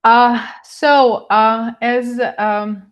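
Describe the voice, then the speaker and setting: An older woman from Croatia with a Croatian accent, giving a webinar lecture in a halting way with many pauses. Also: a little high, steady tone. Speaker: guest speaker, neurochemist. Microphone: video call.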